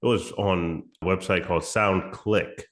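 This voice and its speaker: A man in his thirties, raspy from a cold, explaining his music promotion business in a relaxed, casual interview with frequent restarts. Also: deep voice, measured pace. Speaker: music promotion agency founder.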